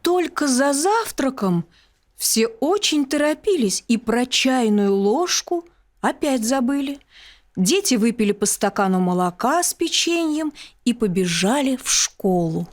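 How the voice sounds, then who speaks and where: A woman storyteller narrating a children's bedtime tale in an expressive, articulate way. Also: high voice, a little slow.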